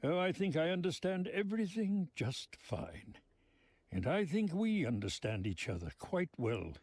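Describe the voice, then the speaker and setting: An actor playing a genteel, sly old mob boss in a video game, speaking in florid, deliberate phrases. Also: male voice, on the high side, sing-song, darkish tone, no echo, full character voice. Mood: grandly knowing. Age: older.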